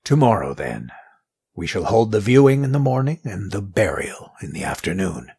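An actor playing a guarded, courteous middle-aged mortician in an audio drama, speaking in formal, measured phrases with a husky, rough voice. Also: male voice, fairly low pitch, big pitch swings, a little mellow, exaggerated voice. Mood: composed.

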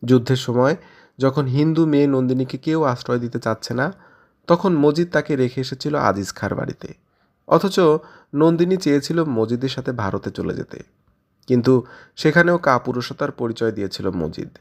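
A man's voice: mid-range voice, moderate pace. A man hosting an audio story channel, giving a reflective commentary on the story and speaking directly to listeners. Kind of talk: literary commentary.

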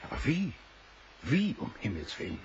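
An actor playing an educated, cautious yet daring engineer in a German audio drama, formal and dramatic. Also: male voice, medium pitch, huge pitch swings, moderate pace. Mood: startled, wary. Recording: dull, hissy old recording.

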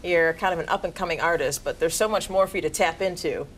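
A middle-aged woman hosting a live morning TV interview in a studio, upbeat and quick to laugh, on a lapel mic. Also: lowish voice, slight background noise. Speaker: morning TV news host. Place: TV news studio.

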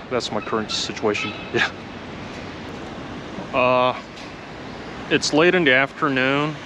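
A man's voice: mid-range voice, background noise. A man in his thirties from Japan talking casually to a handheld camera outdoors, with many fillers and restarts. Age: 30s. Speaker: vlogger. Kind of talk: casual vlog talk to camera. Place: outdoors on a street by trees. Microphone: camera held close to face.

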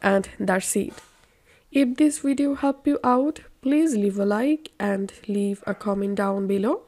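A woman presents a step-by-step software guide. She takes it slowly and keeps to an instructional, scripted delivery with simple phrasing. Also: medium pitch. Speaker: tutorial presenter.

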